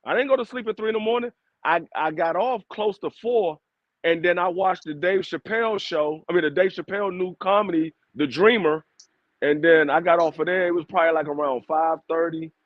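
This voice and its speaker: A man's voice: on the high side, fairly quick, dry recording, highs cut off. A man, co-hosting a podcast and chatting casually, joking and insisting, laughing often. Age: 40s. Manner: joking, emphatic, laughs a lot. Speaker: podcast co-host. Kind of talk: casual chat.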